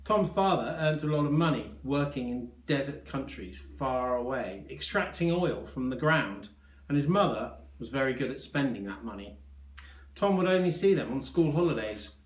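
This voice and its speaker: A man reading a children's story aloud as a teacher would, with expressive narration. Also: mid-range voice. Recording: echoey room.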